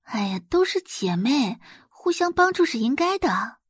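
A woman's voice: high-pitched, very animated, measured pace, slightly rough, no echo, pitched above the performer's own voice, heavily acted. A woman voicing a sweet young daughter with a soothing, demurely reasonable manner in an audio drama. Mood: sweetly placating. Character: sweet, seemingly considerate young daughter, placating.

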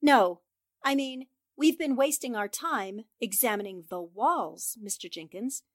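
A woman acting a sharp, confident young newspaperwoman in a 1940s-style radio drama, crisp and assured as she reasons things out. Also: on the high side, unhurried. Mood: assured, excited. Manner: crisp, assured, explaining deductions.

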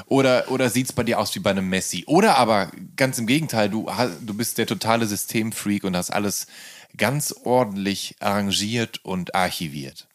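A man's voice: fairly low pitch, normal speed. A man hosting an interview, putting conversational, informal questions to his guest. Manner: informal, often murmurs acknowledgements. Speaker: interviewer, host.

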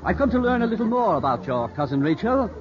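A man's voice: sits high, very animated, fast, crowd noise. A man acting a cautious, stern older English family friend in a 1950s radio drama, sober and admonishing. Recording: dull vintage radio recording with music behind.

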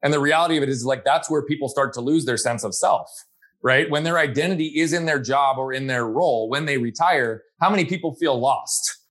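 A man's voice: medium pitch, a little fast, a bit bright. Earnest man telling his story. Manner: earnest, reflective, frequent "right?" tags. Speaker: guest. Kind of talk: conversational interview.

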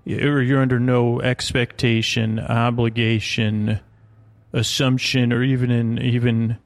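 Creaky-voiced man rambling a bedtime podcast. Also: medium pitch, little pitch movement, dry recording. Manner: meandering, halting, drifting off on tangents, lulling. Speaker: podcast host.

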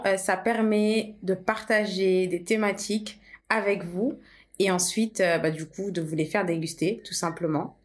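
A young woman from Portugal, co-hosting a podcast about her brand, talking in a hesitant, earnest way with many fillers. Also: medium pitch. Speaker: podcast co-host, brand founder. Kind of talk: casual conversational podcast.

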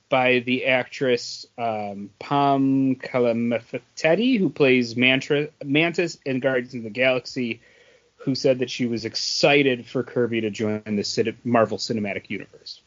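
A man co-hosting a podcast, chatting casually about entertainment news in a halting, hesitant way. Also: mid-range voice, lo-fi sound. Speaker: podcast co-host. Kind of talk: casual chat about movie and comics news.

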